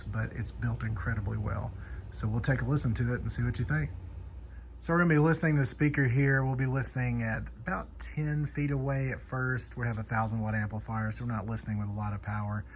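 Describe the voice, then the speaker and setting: A man's voice: mid-range voice, slight background noise. A man giving a casual, off-the-cuff overview of audio equipment as a reviewer and installer. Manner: informal, off-the-cuff. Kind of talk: casual product overview and demo.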